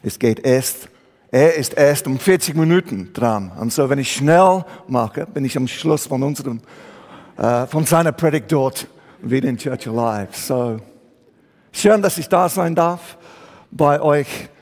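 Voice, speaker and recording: A man's voice: mid-range voice, lively intonation, a little slow, a little mellow. A man from England with an English accent preaching a sermon in German, searching for words and mixing in English, in a church hall. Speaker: guest preacher.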